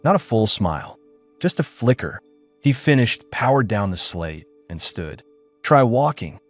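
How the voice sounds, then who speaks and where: A man with a low voice narrates a story in a scripted, dramatic way. His pitch rises and falls in a lively manner. Faint music plays behind him. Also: normal speed. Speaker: audiobook narrator.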